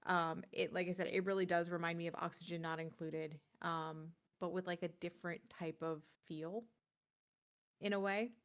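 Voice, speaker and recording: A woman's voice: lowish voice, fairly even intonation, moderate pace. A woman co-hosting a gaming podcast, chatting casually and informally as she presents game picks in a rambling way.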